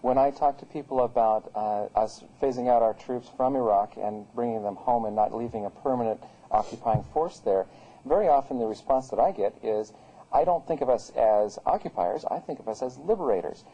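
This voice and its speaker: A man's voice: mid-range voice, brisk, dark tone, highs cut off. A man hosting an interview, asking questions politely and hesitantly with many uhs. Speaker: host, interviewer.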